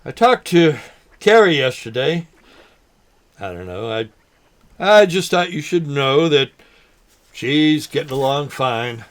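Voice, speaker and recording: Older male actor as a folksy, halting fisherman, slow pace, highly animated swings, naturalistic drama. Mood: wistful. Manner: halting, folksy, mild exclamations. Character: forgetful old man, folksy, nervous, teasing lifelong fisherman. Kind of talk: naturalistic short film drama acting.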